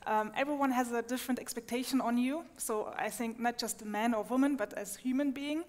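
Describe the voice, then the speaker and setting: A woman's voice: on the high side, fairly quick. A woman from Germany, a panel guest, speaking earnestly and hesitantly with many fillers and restarts. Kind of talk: conversational panel discussion. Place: event stage in a hall. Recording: long reverb of a hall.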